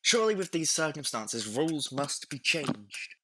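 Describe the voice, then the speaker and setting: Man playing a bright, earnest young Victorian gentleman. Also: fairly high pitch, big pitch swings, moderate pace, a little hiss or hum. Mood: earnest, exasperated. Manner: polite, insistent. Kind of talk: audio drama acting.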